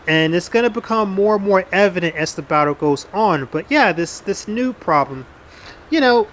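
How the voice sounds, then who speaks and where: A man's voice: sits high, slight background noise. Blunt, rambling male gameplay commentator. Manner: casual, blunt, rambling with frequent restarts and swearing. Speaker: gaming YouTuber.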